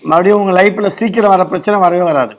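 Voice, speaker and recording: A man with a Tamil Nadu accent speaks as a doctor giving phone-in advice. His voice sits notably high for a man and he talks very quickly, explaining things bluntly. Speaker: doctor advising a caller. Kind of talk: medical phone-in consultation.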